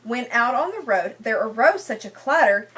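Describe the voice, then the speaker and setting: A woman's voice: on the high side, animated, normal speed, fairly bright tone. A woman, a teacher reading a picture book to young children, asking questions and repeating their answers. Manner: often repeats children's answers back, prompting them with questions. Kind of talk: interactive read-aloud lesson with questions and explanations.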